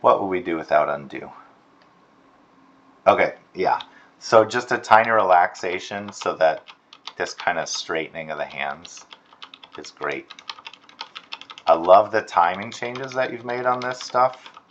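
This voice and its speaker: A man giving a casual, encouraging critique as an animation instructor, softening his suggestions with tentative phrasing. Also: low voice, dull audio, slight background noise. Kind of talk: casual spoken critique of student work. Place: screen-recorded voice-over.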